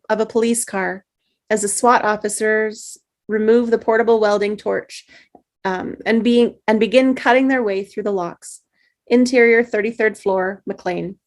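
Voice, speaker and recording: A woman reading a screenplay's stage directions aloud at a table read, steady with small slips. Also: on the high side, normal speed.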